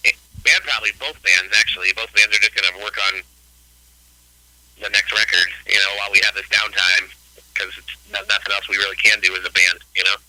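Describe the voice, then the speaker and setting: A man's voice: lowish voice, steady tone, fairly quick, sharp tone, no room sound, poor audio. A man in his late forties, a guest musician chatting casually in an interview, rambling and laid-back with many fillers. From Ohio, US. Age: 40s.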